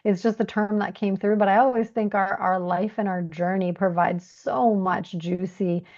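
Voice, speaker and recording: A woman's voice: medium pitch, steady tone, moderate pace, a little mellow. Woman podcast host, slightly muffled sound. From Canada, moved there from Bulgaria aged three. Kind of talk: warm conversational podcast interview.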